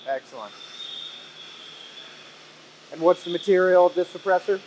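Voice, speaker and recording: Man, thirties, slightly high voice, upbeat video host, conversational, workshop noise behind. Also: moderate pace, darkish tone, poor audio. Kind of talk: casual presenting and interviewing. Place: industrial workshop, indoors. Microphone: clip-on wireless mic on shirt. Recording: clear background noise in workshop.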